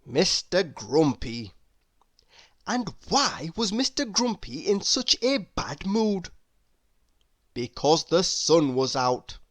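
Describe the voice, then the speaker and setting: A woman narrating a children's story in an expressive, emphatic read-aloud style. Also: lowish voice, takes it slowly, no room sound, studio quality. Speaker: storybook reader.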